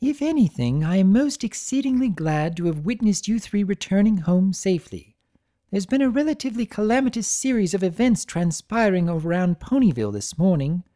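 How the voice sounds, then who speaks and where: A woman's voice: medium pitch, animated, fairly quick, mellow, voice pushed up, close to an everyday voice. A woman voicing a prim, theatrical adult mare fashionista who talks in elaborate, dramatic sentences. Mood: relieved, fussy. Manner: posh, elaborate, dramatic diction.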